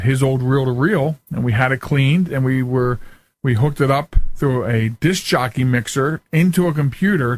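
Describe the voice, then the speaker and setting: A man's voice: mid-range voice, no echo. A man hosting a radio show in a casual, rambling, conversational way. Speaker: radio show and podcast host.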